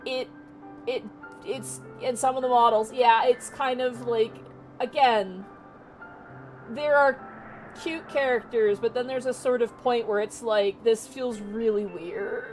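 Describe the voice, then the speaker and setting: A woman with a naturally low voice, rambling casually with lots of fillers while streaming. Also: steady tone, a little slow, faint music behind, low-quality recording. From Canada. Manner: rambling, many fillers and restarts. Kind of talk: casual chat on a livestream.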